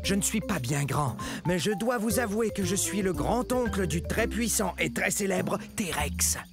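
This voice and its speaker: A man's voice: high-pitched, lively intonation, a little slow, gravelly, music in the background, full character voice. A man voicing a proud, secretive little meat-eating dinosaur in a children's cartoon, confiding in a dramatic, self-important way. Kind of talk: animated children's educational cartoon monologue.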